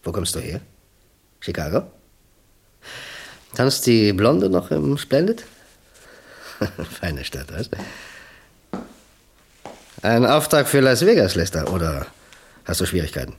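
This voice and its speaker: An actor playing a chummy, boastful small-time gangster boss in a radio crime drama, talkative and jovial. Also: male voice, fairly low pitch, sing-song, slow, gravelly, long pauses, breathy edge, full character voice. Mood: falsely jovial.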